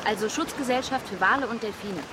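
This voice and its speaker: An actress playing a young, headstrong and earnest daughter with an impatient, insistent manner in a radio drama. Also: female voice, fairly high pitch, quick pace, very noisy, lightly acted. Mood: earnest, reproachful. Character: headstrong, earnest daughter, passionate about protecting animals. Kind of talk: naturalistic radio drama acting.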